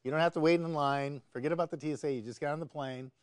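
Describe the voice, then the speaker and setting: Fast-talking American man, insistent and stammering. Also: a little high, steady tone, no room sound, studio quality. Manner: insistent, stammering repetition of phrases. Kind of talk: opinionated political discussion.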